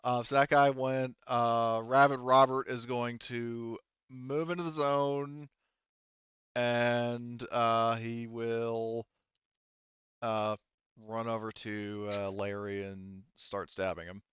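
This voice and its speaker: Clear-voiced man, halting game master. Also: medium pitch, flat delivery, a bit bright, no echo, highs cut off. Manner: halting, thinking aloud. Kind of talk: narrating and refereeing a tabletop roleplaying game.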